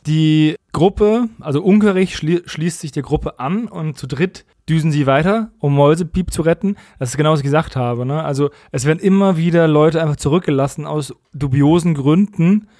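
A man talks casually as a podcast co-host. His voice is somewhat high for a man, his phrasing wry and colloquial, and the sound is tight and dry with no noticeable room. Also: moderate pace, hardly any pauses, a little mellow, no room sound. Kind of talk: casual chat, recapping an audio drama.

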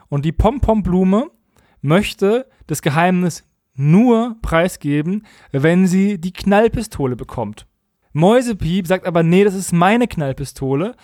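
A man's voice: high-pitched, a little mellow, no room sound, clean studio sound. A man recapping stories as a podcast co-host in a colloquial, humorous, mockingly opinionated way. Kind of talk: casual humorous episode recap.

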